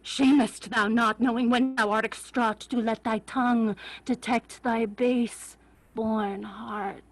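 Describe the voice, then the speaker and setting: Woman playing a high-pitched, seething, vengeful young nobleman. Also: steady tone, slow pace. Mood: cold contempt. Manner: seething, emphatic declamation. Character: Richard, venomous vengeful young crookbacked nobleman. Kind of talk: Shakespearean staged reading. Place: remote reading over a video call.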